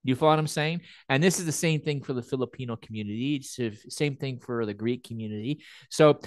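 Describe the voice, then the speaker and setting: Give me a man ranting on a podcast, mid pitch, dry close sound. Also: quick pace, dry recording. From Montreal, Canada. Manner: ranting, laughing at his own points. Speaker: podcast co-host. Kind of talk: casual chat.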